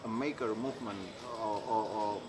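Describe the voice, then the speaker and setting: Halting man, noisy outdoors. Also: on the low side, fairly even intonation. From Indonesia. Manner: halting, searching for words, many restarts. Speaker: interviewee, maker. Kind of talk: casual interview talk. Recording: clear background noise, insects.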